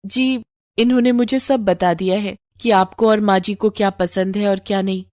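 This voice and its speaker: A woman voicing a gentle, respectful young daughter-in-law in an animated moral story. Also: mid-range voice, moderate pace, no echo, studio quality, lightly acted. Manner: respectful, eager to serve.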